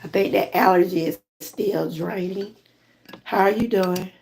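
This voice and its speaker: A Southern woman from Georgia with a hoarse voice, chatting casually with viewers on a live stream from home. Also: medium pitch, a little slow, slight background noise, rough recording. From Georgia, USA. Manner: relaxed, chatty, greets viewers often. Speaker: lifestyle vlogger and channel host.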